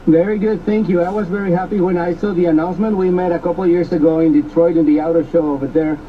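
Rambling male radio host, slightly high. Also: fairly even intonation, fast talker, a little hiss or hum. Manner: rambling, repeats words. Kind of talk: radio interview questions. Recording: distant, dull radio audio.

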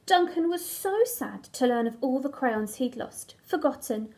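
High-pitched young woman reading a storybook. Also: animated, measured pace, warm tone, slight background noise. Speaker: infants' school teacher reading aloud. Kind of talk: expressive children's storybook reading. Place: furnished room at home.

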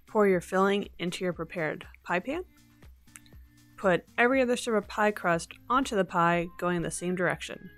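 A woman's voice: lowish voice, fairly bright tone. A young woman hosting a home baking video, narrating a recipe step by step with playful asides. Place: home kitchen.

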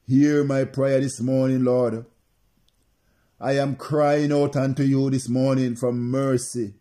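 A man's voice: mid-range voice, little pitch movement, takes it slowly, mellow. A male preacher praying and preaching to remote listeners, repeating his phrases in an insistent, chant-like cadence.

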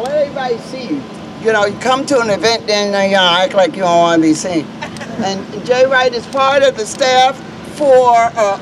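A middle-aged woman hosts an outdoor gathering from a podium microphone on a park lawn. She mixes offhand, joking asides with formal introductions of speakers. Loud city traffic runs underneath her voice. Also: medium pitch. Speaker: event organizer and emcee. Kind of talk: emceeing an outdoor public event. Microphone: podium microphone on a stand. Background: city traffic and street ambience.

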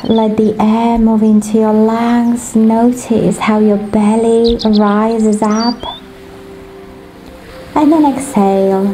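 A woman leading a guided sleep meditation in a gentle, soothing, instructive manner, as a meditation guide. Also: mid-range voice, a little hiss or hum, low-quality recording. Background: ocean waves.

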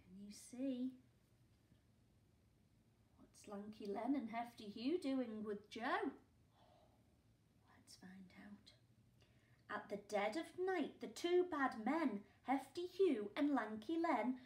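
A woman in her forties, a primary school teacher reading a picture book aloud at home, with gasps and hushed asides for young listeners. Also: a little high, lively intonation, slow, long pauses, reverberant. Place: living room at home, indoors. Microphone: camera a few feet away.

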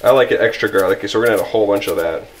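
A man's voice: lowish voice, very fast. Easygoing young man cooking in his kitchen. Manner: easygoing, apologetic, rambling with restarts. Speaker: outdoor vlogger cooking camp meals. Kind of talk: casual how-to cooking narration. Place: home kitchen, indoors. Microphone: camera filming from above the stove.

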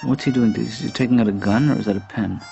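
Man playing a mild halting middle-aged dad, muffled. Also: medium pitch, creaky, faint music behind, lightly acted. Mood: mild, wistful. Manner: halting, mumbly, deadpan. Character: mild, passive-aggressive middle-aged balding father. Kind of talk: improvised-sounding conversational cartoon acting. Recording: muffled lo-fi audio with background noise.

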